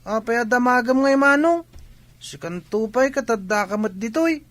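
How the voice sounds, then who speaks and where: A man's voice: extremely high pitch, animated, moderate pace, fairly bright tone, dry recording. A man with a Filipino accent playing a cheeky, inquisitive village boy in a comedy radio drama. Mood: indignant, cheeky.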